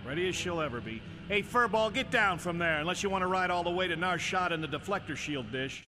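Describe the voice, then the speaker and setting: An actor playing a wisecracking, impatient smuggler pilot in an audio drama, casual and exasperated. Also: male voice, high-pitched, lively intonation, fast talker, background noise. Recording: orchestral score behind.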